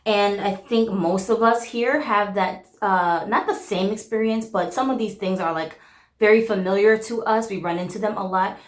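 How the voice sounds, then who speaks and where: A woman's voice: mid-range voice, moderate pace. Chatty woman host in an echoey room. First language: Korean. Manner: candid, chatty, frequent "like" and "um" fillers. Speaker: channel host. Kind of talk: casual chat to camera.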